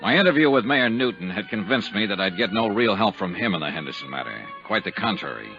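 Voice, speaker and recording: An actor playing a dogged, wry insurance investigator in a 1950s radio detective drama. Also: male voice, fairly low pitch, expressive, fast talker. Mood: wry, clipped. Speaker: radio drama lead and narrator. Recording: dull old 1950s radio recording, music behind.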